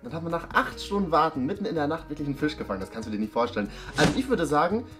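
A man's voice: a little high, big pitch swings, racing. A young man in casual, improvised chat at a staged dinner. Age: young adult. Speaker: participant in a staged date. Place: indoor studio set at a dinner table. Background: music.

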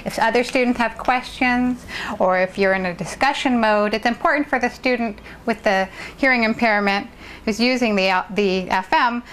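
A woman assistant professor giving a clear, measured instructional demonstration, speaking into a worn lapel microphone. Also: fairly high pitch, a bit bright, poor audio.

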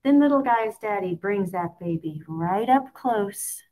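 A woman reads a children's story aloud expressively, in a sing-song way with drawn-out key words. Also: mid-range voice, animated, a little mellow, rough recording. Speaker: picture book reader.

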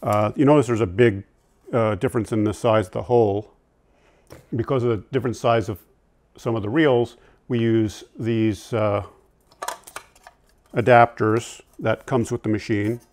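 A man in his sixties informally explaining and demonstrating gear, in a conversational, halting way that often backtracks. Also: on the low side, fairly even intonation, darkish tone. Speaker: audio-visual technician and product reviewer presenting vintage tape gear. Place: indoor room at home, seated at a table.

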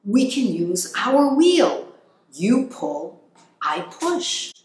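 Older woman doing cute curious hamster dude for a kids book, cheery, high, slightly roomy. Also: very animated, extremely slow, darkish tone, exaggerated voice.

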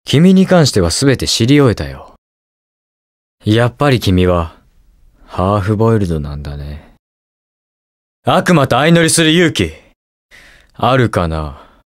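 Animated, cerebral young detective hero. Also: male voice, lowish voice, a little slow, pauses often, no room sound, studio quality. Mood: smug, analytical. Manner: calm, precise, smug and curious delivery. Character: cool, inquisitive young male detective superhero, cerebral and teasing. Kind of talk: Japanese video game voice acting, short lines.

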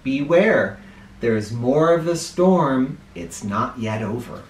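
An American man in middle age reads to children with very animated, swooping intonation. He takes his time and leans into words for drama. The sound is roomy, picked up by a camera in a living room. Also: medium pitch. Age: middle-aged. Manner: expressive, dramatic, deliberate emphasis. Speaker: children's picture book reader and host. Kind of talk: read-aloud for children with spoken asides. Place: small living room, indoors.

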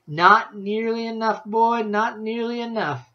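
A man voicing an excitable old grandmother who shouts and scolds in rustic speech, pitched well above his own voice. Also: a little slow. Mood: scolding. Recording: dull lo-fi audio.

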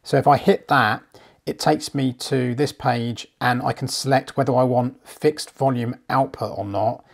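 A man with a croaky, flu-worn voice gives a chatty, steady step-by-step walkthrough as a hifi reviewer. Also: mid-range voice. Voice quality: gravelly. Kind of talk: screen walkthrough tutorial. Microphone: voice-over.

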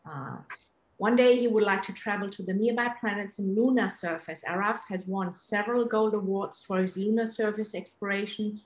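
A woman's voice: mid-range voice, moderate pace. A woman hosting on a video call, introducing a presenter in an encouraging way. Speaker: host. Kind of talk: introducing a guest. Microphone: laptop webcam, video call. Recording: echoey room over video call.

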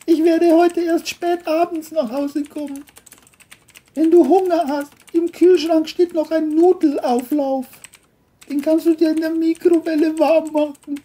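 A woman playing a doting elderly grandmother in an audio drama, speaking in a deliberate, formal, affectionate way. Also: sits high, mellow. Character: doting elderly grandmother leaving a loving note. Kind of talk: audio drama letter reading.

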